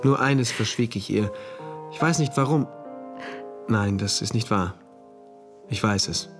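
A detached diary writer in a radio play, measured n introspective, bit of music behind. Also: male voice, on the low side. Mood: brooding. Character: introspective male diarist engineer, detached and obedient citizen. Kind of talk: first-person radio drama narration.

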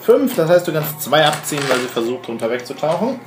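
A man's voice: fairly high pitch, big pitch swings, fast talker, music in the background. Male game master in an echoey room. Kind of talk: narrating a tabletop roleplaying game. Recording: echoey room with clear background noise.

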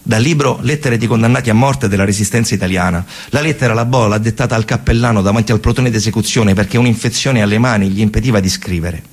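A man's voice: lowish voice, breathy edge. A man performing a theatrical narrative monologue, telling the story emphatically in short, colloquial, repeated phrases. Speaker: stage actor and narrator.

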